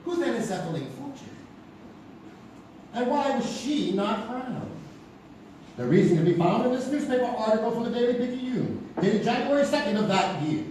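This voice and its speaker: An actor plays a knowing male storyteller delivering dramatic scripted mystery narration. His high, lively voice has a mellow tone and pointed pauses, and rings from afar in a very echoey hall. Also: far from the mic, slight background noise. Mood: intrigued, grave. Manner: theatrical, rhetorical questions, pointed pauses. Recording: echoey live theatre recording from afar.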